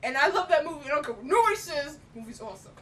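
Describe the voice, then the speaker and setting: A woman's voice: animated, rapid-fire, a bit bright. A woman co-hosting a film chat, talking casually in emphatic, slangy, opinionated fan style. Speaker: co-host reviewing films. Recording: distant mic, faint noise and rumble.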